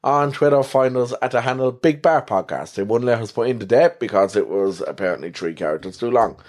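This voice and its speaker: A man's voice: mid-range voice, a little fast, darkish tone. An Irish man hosting a podcast, chatting casually in a rambling, self-deprecating way with a soothing voice. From Ireland. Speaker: podcast host. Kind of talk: casual solo podcast chat.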